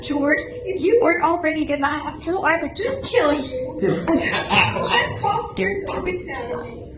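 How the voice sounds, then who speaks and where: A woman's voice: sits high, lively intonation, measured pace, over music. A woman acting an exasperated, scolding wife who fires incredulous questions in an amateur radio drama reading. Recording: echoey room, noticeable background noise.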